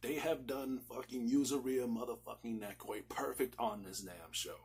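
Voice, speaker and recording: A man's voice: medium pitch, moderate pace, darkish tone, slight background noise. A man reviewing a series in a casual, sweary, emphatic way, rambling and repeating himself for stress. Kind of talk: casual, profanity-laced review commentary.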